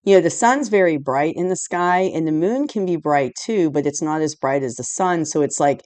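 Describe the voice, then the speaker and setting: Low, hoarse woman giving a tarot reading. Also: expressive, normal speed, a little mellow, no echo. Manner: rambling, loose, full of "you know" and "like", with light laughs. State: hoarse after a cold. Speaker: tarot reader. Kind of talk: casual spoken tarot reading. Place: indoors at home, dim room lit by a candle and lamp.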